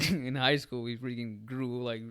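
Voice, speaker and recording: A young man co-hosting a podcast, telling stories in slangy, animated bursts and calling his friend "bro.". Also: medium pitch, measured pace, smooth. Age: young adult. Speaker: podcast co-host. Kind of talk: casual chat.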